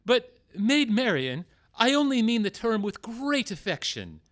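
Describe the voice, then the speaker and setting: A man's voice: very high voice, very animated, unhurried, a bit bright, full character voice. A man playing an earnest, theatrical, easily wounded outlaw leader in a comic radio play. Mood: earnest pleading. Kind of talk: radio-play comedy acting.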